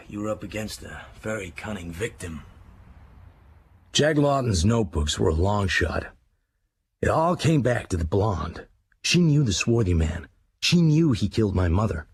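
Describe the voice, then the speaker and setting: Very husky, gravelly crime writer. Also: male voice, on the low side, darkish tone, full character voice. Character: haunted middle-aged male crime writer investigating his mother's murder. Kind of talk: hard-boiled first-person radio drama narration, clipped sentences.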